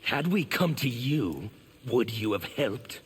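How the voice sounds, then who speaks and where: An actor playing a fiery, fanatical anarchist villain in a video game, with a harsh gravelly voice and theatrical ranting. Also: male voice, medium pitch, very animated, takes it slowly, slight background noise. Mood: defiant, scornful. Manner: ranting, forceful. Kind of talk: dramatic video game dialogue.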